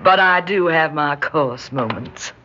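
A woman's voice: sits low, slow pace. An actress in an old-time radio drama playing a genteel, lonely shopkeeper who dotes on her cousin, fluttery and pleading in manner. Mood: polite, demure. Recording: dull noisy old radio recording.

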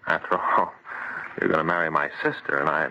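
An actor playing a sensible, exasperated friend in an old radio horror drama, talking bluntly and scoffingly. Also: male voice, a bass voice, fairly even intonation, brisk. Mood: uneasy. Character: sensible, exasperated male friend and future brother-in-law. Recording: dull, noisy vintage radio recording.

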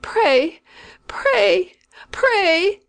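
A woman doing a dying old country woman in a storybook, slow and drawn-out, talking in a rough rustic way. she sounds sinful and scared of the dark, and she gives orders like she is used to being obeyed. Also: very high voice, lively intonation, pitched above the performer's own voice, exaggerated voice. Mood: frantic. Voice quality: rustic dialect, anguished pleading.

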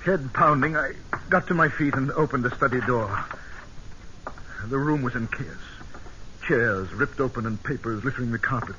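A brisk, thoughtful British army colonel played by a man in a wartime radio drama, with a medium-pitched voice. The old broadcast is dull and thick with noise. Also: close to an everyday voice. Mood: tense. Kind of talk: radio drama narration and dialogue. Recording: noisy, band-limited old radio broadcast.

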